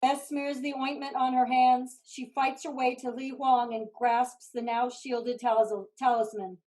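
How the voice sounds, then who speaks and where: A woman's voice: sits high, flat delivery, a little slow, a little mellow, low-quality recording. A woman reading stage directions as scripted narration for a staged play reading.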